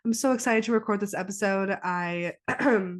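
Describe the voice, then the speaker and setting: A woman's voice: mid-range voice, moderate pace. A young adult woman hosting her own podcast in casual solo chat, congested from a head cold, rambling with frequent ums and restarts. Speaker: podcast host and psychic medium.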